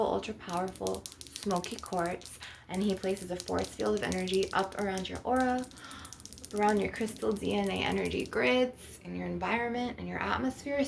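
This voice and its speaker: Woman with creaky voice guiding healing session. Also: medium pitch, noisy background. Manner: instructive, guiding breathing and visualisation. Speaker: spiritual healer hosting an ASMR channel. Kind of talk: ASMR energy-healing session.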